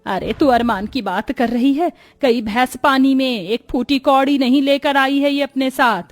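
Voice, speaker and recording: A woman voicing a greedy, bossy middle-aged mother-in-law in a melodramatic story, scolding and sharp-tongued. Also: sits high, measured pace, voice pushed up. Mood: indignant.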